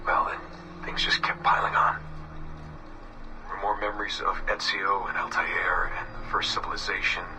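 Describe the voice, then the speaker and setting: Low, distant, weary young man, acted by a man. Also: completely flat delivery, natural voice. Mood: reflective, weary. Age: young adult. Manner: halting, subdued, reflective. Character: weary, haunted young man recording a confession. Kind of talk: recorded confessional audio diary, game voice acting. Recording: distant game audio with music behind. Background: music.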